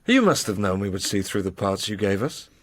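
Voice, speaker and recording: A man's voice: on the low side, very animated, fairly quick. An actor playing a frustrated, sceptical young man in a radio drama, speaking directly and challengingly. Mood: frustrated, insistent. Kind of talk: radio drama dialogue acting.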